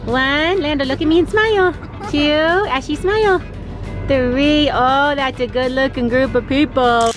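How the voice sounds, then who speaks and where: A middle-aged woman chatting casually and excitedly to viewers in an encouraging way, recorded outdoors on a boat. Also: sits high, measured pace. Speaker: family vlogger filming. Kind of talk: casual vlog chat. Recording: outdoor lake, loud music and people.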